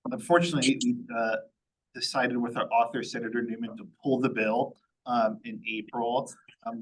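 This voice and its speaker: A man's voice: medium pitch, husky, rough recording. A man, a chief of staff, giving a matter-of-fact spoken update at a public meeting, with frequent ums and uhs.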